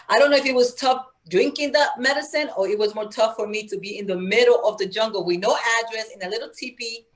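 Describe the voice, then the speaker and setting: A woman's voice: a little high, rough recording. A woman from Peru, a guest telling her story in an earnest, spiritual, conversational way. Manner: earnest, spiritual, animated, acts out quoted voices.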